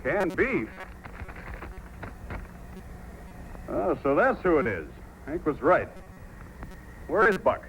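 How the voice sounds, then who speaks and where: Animated Yukon police sergeant, old radio drama. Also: male voice, fairly high pitch, measured pace, slight background noise, exaggerated voice, poor audio. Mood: alert, urgent. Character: firm, courteous male police sergeant of the Yukon. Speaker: radio drama lead actor. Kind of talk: old-time radio adventure drama acting. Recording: old radio recording with loud music.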